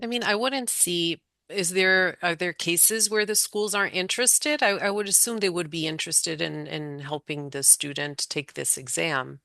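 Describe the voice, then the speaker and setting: A woman with a bright, sharp voice hosts a webinar over a video call. She asks questions in an inquisitive way, thinking aloud as she goes, on a dry, close recording. Her pitch sits fairly low. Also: normal speed, creaky, few pauses, dry recording. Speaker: webinar host.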